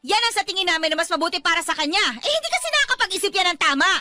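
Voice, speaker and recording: A woman's voice: very high-pitched, expressive, rapid-fire, sharp tone, full character voice. An actress playing a harsh, defensive middle-aged mother in a Filipino radio drama, scolding and dismissive, with faint music underneath. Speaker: Aling Gloria.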